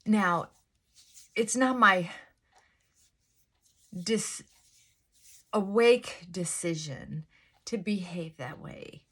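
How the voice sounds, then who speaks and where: A middle-aged woman chats slowly and thoughtfully while getting ready in the morning. Her fairly high voice is held down on purpose, and she drops into soft whispered asides. She speaks in a confessional, reflective way. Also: breathy edge. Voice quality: fairly high-pitched voice she works to pull down. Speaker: vlogger. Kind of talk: casual get-ready-with-me chat.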